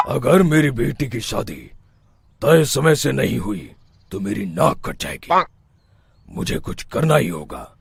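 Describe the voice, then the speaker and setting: A man's voice: mid-range voice, very animated, takes it slowly, creaky, husky, heavily acted. A man voicing stern older village men in a cartoon story, emphatic and imperious. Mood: worried resolve. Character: stern older village men: father-in-law, landlord, exorcist.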